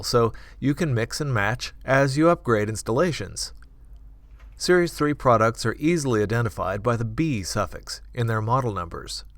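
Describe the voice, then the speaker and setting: A man reading scripted corporate product narration in a measured, clearly enunciated manner. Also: mid-range voice, fairly bright tone, dry recording, studio quality. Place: dry studio voice-over recording.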